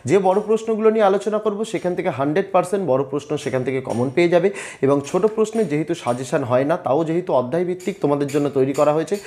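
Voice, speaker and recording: Fast-talking, higher-pitched Bengali man teaching online. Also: darkish tone. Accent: Bengali from West Bengal, India. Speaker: online class teacher and channel host. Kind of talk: explanatory tutorial narration addressed to students.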